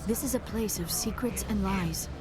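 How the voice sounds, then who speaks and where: A woman's voice: medium pitch, normal speed, over music, natural voice. An actress playing a serious, wary alien woman in a game, speaking formally. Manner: cautioning, formal.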